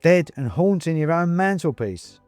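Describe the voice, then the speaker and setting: A man's voice: on the high side, big pitch swings, moderate pace, a little mellow, clean tone, no echo, faint music behind, voice pushed up. A man voicing a fussy, chatty little male china ghost in a storybook, with genteel old-fashioned phrasing and needling politeness. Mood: chatty, matter-of-fact.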